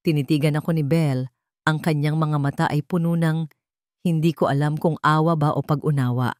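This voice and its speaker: A woman's voice: sits low, fairly even intonation, a little fast, darkish tone, dry recording, studio quality. A woman with a Filipino accent reading a dramatic romance story as its narrator.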